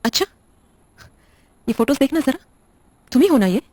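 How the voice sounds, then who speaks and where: A woman's voice: sits high, big pitch swings, moderate pace, darkish tone, dry recording. A woman playing a blunt, controlling widowed wife in an audio drama, speaking in a curt, sardonic, interrogating way. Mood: curt, probing. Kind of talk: Hindi audio drama acting.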